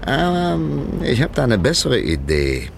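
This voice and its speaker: Methodical detective chief, 1950s radio drama: gravelly, animated intonation, deliberate, superior, thinking out loud. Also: male voice, medium pitch, background noise. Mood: patient, explaining. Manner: deliberate, musing, lecturing, thinking aloud. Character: shrewd, methodical male police commissioner, patiently superior. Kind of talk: 1950s German radio crime drama acting.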